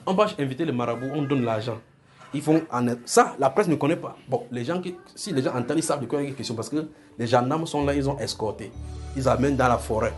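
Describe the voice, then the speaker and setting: A man preaching with earnest, insistent force, circling back over his words as he presses each point. His voice sits at an ordinary middle pitch. Gentle worship music plays faintly underneath. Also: fast talker. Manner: earnest, insistent, repeats himself. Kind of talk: sermon.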